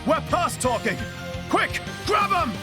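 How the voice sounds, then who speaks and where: A man with a British accent playing a brash, blunt, colloquial young adventurer in a video game, his voice husky. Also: very high voice, sing-song, slow pace, music in the background, exaggerated voice. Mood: urgent.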